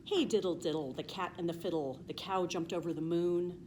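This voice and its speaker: An American woman reading a children's storybook aloud with expressive, emphatic, sing-song rhyming delivery. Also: lowish voice, fairly quick, a little hiss or hum.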